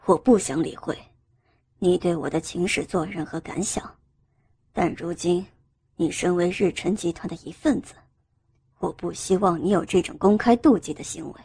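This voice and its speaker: A man playing a cold, aloof young businessman in a romance audiobook, with a husky voice and stern, restrained delivery. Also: sits high, normal speed, mellow, no room sound.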